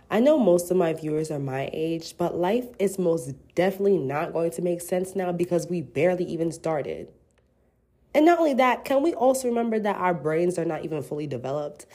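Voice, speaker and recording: A woman's voice: low voice, warm tone. A woman in her twenties hosting a casual solo podcast, playful and slangy, sounding congested from a cold. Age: 20s. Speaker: podcast host.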